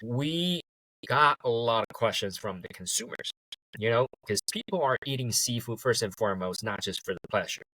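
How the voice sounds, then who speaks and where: A man, a guest talking in a relaxed way and laughing easily. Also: medium pitch, moderate pace, no echo, rough recording. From Taiwan. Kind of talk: casual interview.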